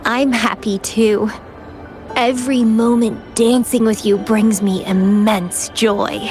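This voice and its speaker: Playful, unhurried young enigmatic woman. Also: on the high side, level tone, darkish tone. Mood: teasing, tender. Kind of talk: video game character acting. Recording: music behind the voice.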